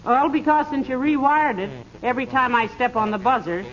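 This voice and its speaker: An actress playing a patient, middle-aged wife with a dry, gently sarcastic manner in a 1940s radio comedy. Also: female voice, high-pitched, fairly quick, natural voice. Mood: matter-of-fact. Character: patient, wry, teasing wife. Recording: noisy, dull 1940s radio recording.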